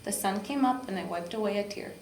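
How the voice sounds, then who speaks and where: A woman narrates a children's storybook in an expressive way. Her pitch is average. The room is echoey, with a little noise behind her. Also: a little fast. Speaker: park district program supervisor reading to children.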